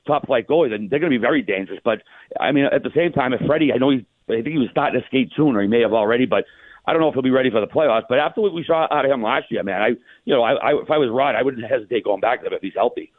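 Fast-talking man on a phone-like line. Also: medium pitch, lively intonation, no room sound. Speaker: hockey analyst guest. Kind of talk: casual sports chat.

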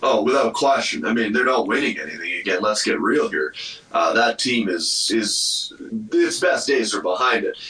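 Bright, forceful man in a roomy space. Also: fairly low pitch, expressive, moderate pace. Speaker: sports radio commentator. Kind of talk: conversational hockey commentary. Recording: roomy, distant from the microphone.

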